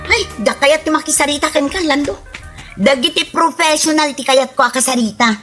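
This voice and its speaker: A woman's voice: high voice, fairly quick, pushed and bright, faint music behind. A woman playing a vain, whiny, boastful returnee from abroad in an Ilocano radio comedy drama, speaking theatrically with an Ilocano accent. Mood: haughty, whiny.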